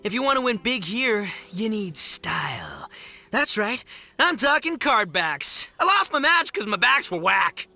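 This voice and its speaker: A brash, bombastic young gang boss from a video game. His delivery is extremely animated and forceful, boastful and goofy, with faint music underneath. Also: high-pitched, a little slow, no room sound, exaggerated voice. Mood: cocky.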